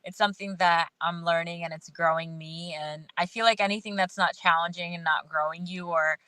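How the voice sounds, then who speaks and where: A woman's voice: on the low side, fairly even intonation, fairly quick, pushed and bright, clear, resonant tone, no echo. A woman whose first language is Hindi talks candidly and thoughtfully as a guest, laughing often, from outdoors in the rain. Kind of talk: casual video-call conversation.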